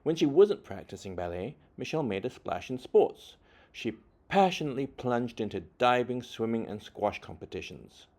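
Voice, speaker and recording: A man reading a children's story aloud expressively, with deliberate phrasing and dramatic emphasis. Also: mid-range voice, very animated, a little mellow. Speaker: children's book reader.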